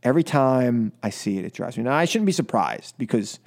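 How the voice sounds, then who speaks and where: A man's voice: mid-range voice, sing-song, fairly quick, darkish tone. An American man hosting talk radio, delivering an impassioned political monologue in an emphatic, exasperated way, repeating phrases for stress. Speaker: talk radio show host. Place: radio studio.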